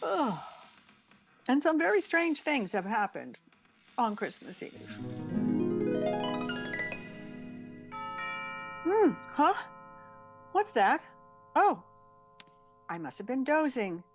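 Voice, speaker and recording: A woman's voice: sits high, very animated, takes it slowly, long pauses, faint music behind, heavily acted. A woman playing a wry, wisecracking single woman with dry, sardonic delivery in an old-time radio comedy. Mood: drowsy wonder. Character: Miss Brooks, wry, wisecracking single woman, a cat owner.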